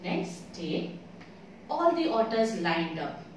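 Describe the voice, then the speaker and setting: Female children's storyteller, expressive and questioning, medium pitch, recorded in a very echoey room. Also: takes it slowly. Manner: expressive, asks the listener questions. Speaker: storyteller and reading host. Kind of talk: children's read-aloud storytelling. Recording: very echoey room, distant mic, faint noise.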